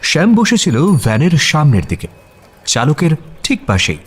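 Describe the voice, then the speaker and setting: A man's voice: on the high side, wildly swooping pitch, normal speed, slightly rough. A man narrating a scripted audio story in a dramatic storytelling style.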